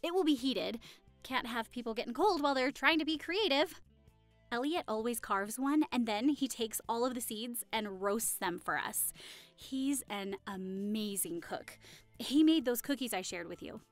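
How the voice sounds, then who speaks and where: A woman's voice: on the high side, lively intonation, moderate pace, no room sound, soft background music. A woman playing a friendly, affectionate young hostess in an audio roleplay, chatting cheerfully and reassuringly to the listener. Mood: chatty, explaining. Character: friendly, affectionate young woman hosting a Halloween costume party.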